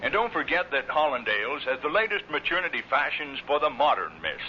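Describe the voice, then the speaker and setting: A man's voice: on the high side, sing-song, brisk, sharp tone. A man reading a vintage radio advertisement as a commercial announcer. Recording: dull, faintly noisy vintage radio recording.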